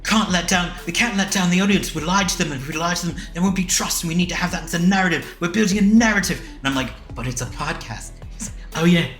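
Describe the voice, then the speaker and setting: Bright-voiced man hosting, echoey room. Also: sits high, fast, hardly any pauses, soft background music. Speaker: podcast host. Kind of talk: casual chat.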